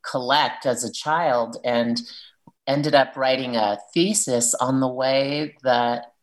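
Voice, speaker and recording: A woman's voice: extremely low pitch, fairly even intonation, slow, poor audio. A woman author talking earnestly about her children's book and reading from it aloud. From Peruvian family, living in Arizona.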